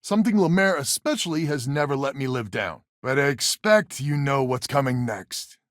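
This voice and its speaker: A man's voice: medium pitch, normal speed, dry recording, clean studio sound, natural voice. A man voicing a weary, stubborn space settler leader in a video game, plain-spoken and sardonic with a folksy manner. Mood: defensive, rueful. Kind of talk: video game character dialogue.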